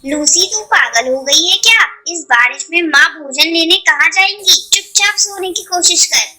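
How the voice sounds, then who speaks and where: A woman's voice: very high-pitched, lively intonation, moderate pace, sharp tone, full character voice. A woman voicing a young girl bird, an earnest, determined elder sister in a children's cartoon. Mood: scolding. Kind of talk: Hindi children's cartoon voice acting.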